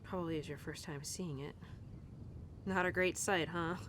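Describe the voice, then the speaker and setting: A woman playing an upset young cowgirl talking tenderly and haltingly to her horse in an intimate audio roleplay. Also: fairly even intonation, natural voice. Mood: sad, apologetic. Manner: halting, casual, sighing, talking softly to an animal. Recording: clear background noise with low rumble.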